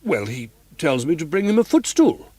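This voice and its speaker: A man's voice: on the high side, very animated, normal speed, warm tone, no room sound, voice pushed up. A man voicing a chatty, superstitious older woman who works as a theatre boxkeeper, talking earnestly. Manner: earnest, gossipy.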